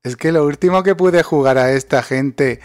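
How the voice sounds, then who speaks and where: A man's voice: fairly high pitch, moderate pace, a little mellow, no room sound. A man, ranting sarcastically and swearing in a casual chat as a podcast co-host. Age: 40s.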